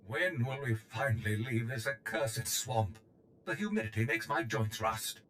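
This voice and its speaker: A fussy droid from a space video game. It grumbles theatrically in a fairly low voice. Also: male voice, unhurried, fairly bright tone, a little hiss or hum. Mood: weary complaint.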